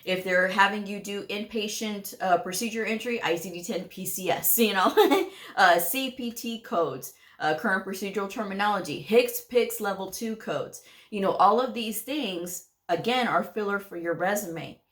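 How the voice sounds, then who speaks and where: A woman's voice: medium pitch, normal speed. Emphatic woman giving career advice. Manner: direct and emphatic, says "okay?" and "guys" often, laughs lightly. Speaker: medical coding educator and channel host. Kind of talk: casual advice monologue to viewers.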